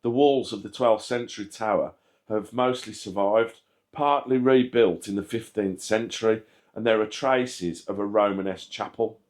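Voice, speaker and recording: A man reading a scripted history narration aloud in a measured, factual manner. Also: on the low side. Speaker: channel host narrating a history video.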